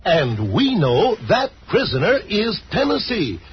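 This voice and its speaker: A muffled old radio recording carries a male announcer in 1940s style. His high voice swoops emphatically and theatrically, yet stays smooth-edged in its sales and serial patter. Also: huge pitch swings, a little slow, soft background music.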